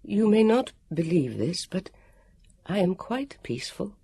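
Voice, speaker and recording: A woman voicing a nervous, gentle male warrior in a storybook, with a high, quavering voice and wordy, hesitant politeness. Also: big pitch swings, measured pace, husky, heavily acted. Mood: gentle, mournful.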